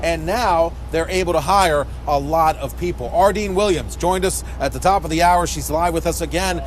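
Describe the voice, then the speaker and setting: A man's voice: fairly high pitch, moderate pace, slight background noise. A man reporting live as a TV news reporter from outdoors in the city. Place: outdoors near a city construction site.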